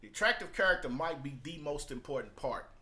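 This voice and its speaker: A man's voice: a little high, animated, fairly quick, sharp tone, a little hiss or hum. A man talking casually and off the cuff as he reviews and explains, in an emphatic, repetitive, colloquial way. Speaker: vlogger reviewing a business book.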